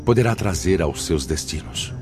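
An actor playing a solemn, benevolent spirit guide in a radio drama, speaking in a measured, fatherly, exhorting way. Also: rumbling low, big pitch swings, over music, exaggerated voice. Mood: solemn exhortation.

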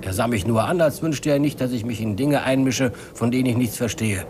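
A man's voice: mid-range voice, lightly acted. Precise, resentful bookkeeper played by a man, noisy recording. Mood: quietly resentful. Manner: measured, precise, formal. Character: long-serving male bookkeeper, precise and quietly resentful. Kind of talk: 1950s German radio drama acting. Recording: noisy old radio drama recording.

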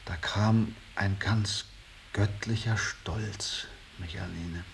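A low-voiced embittered, idealistic painter in a radio play. He speaks very slowly, with solemn, rhapsodic fervour that can rise from a hush to an outburst. Faint tape hiss runs under the studio recording. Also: steady tone, vocal fry. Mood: reverent, nostalgic. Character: Lachmann, embittered, idealistic male painter revering his old master. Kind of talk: 1980s German radio drama acting.

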